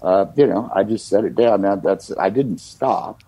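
Husky, low-voiced older man reminiscing. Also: moderate pace, mellow, no room sound. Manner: reflective, leans on "you know" fillers. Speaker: guest, singer-songwriter. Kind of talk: relaxed conversational storytelling.

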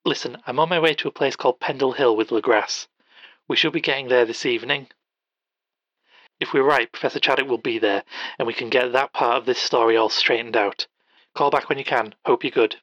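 An earnest male investigator played by a man leaves a casual, matter-of-fact phone message in an audio drama. His mid-pitched voice sounds dry and slightly muffled, like a recording. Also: fairly quick, a bit bright, no echo. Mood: friendly, earnest.

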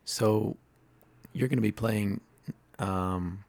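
A man hosting a casual interview, relaxed and giving short replies. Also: fairly low pitch, slow, gravelly, dry recording. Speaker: interview host.

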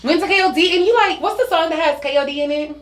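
A young woman with an American accent, a podcast guest telling anecdotes in a casual, animated, slangy way, recorded in an echoey room. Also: sits high, fast. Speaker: podcast guest, content creator.

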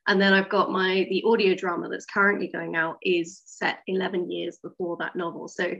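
A woman with a slightly low voice talks as a writer being interviewed. She explains in a casual, piecemeal way. The recording is a bit muffled and lo-fi. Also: level tone, normal speed, vocal fry, a bit bright.

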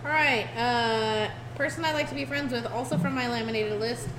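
A woman's voice: a little high, moderate pace. A woman on a casual group podcast, blunt and profane. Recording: echoey room with background noise.

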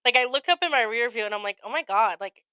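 A woman's voice: a little high, quick pace, clear, resonant tone, dry recording. A young American woman co-hosting a podcast, telling a story in casual chat and acting out quotes with some swearing. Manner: animated retelling with acted-out quotes, frequent profanity. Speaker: podcast co-host.

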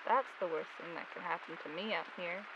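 A woman's voice: lowish voice, a little fast, muffled recording, a crowd in the background, noisy background, lightly acted. A woman playing a grumbling, sardonic, foul-mouthed young woman in a naturalistic audio drama. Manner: muttering complaints, sarcastic.

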